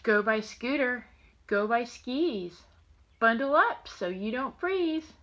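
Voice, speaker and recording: A woman's voice: a little high, lively intonation, takes it slowly, fairly bright tone, lo-fi sound. An older woman, a grandmother reading a picture book and chatting to her young grandchild in a playful, sing-song, affectionate way. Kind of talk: animated read-aloud and affectionate chat to a young child.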